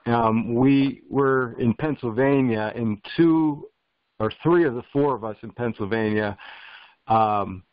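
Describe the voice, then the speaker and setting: Male caller, middle pitch, halting and hesitant, speaking over a dry, muffled, unreliable phone connection. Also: no echo. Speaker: caller asking a question. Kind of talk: halting, conversational explanation. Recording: dull phone audio, cutting out.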